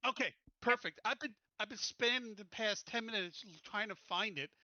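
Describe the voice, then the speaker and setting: A man's voice: high-pitched, moderate pace, bright, forceful tone, no echo, muffled recording. A man chatting casually as a player in a tabletop roleplaying game.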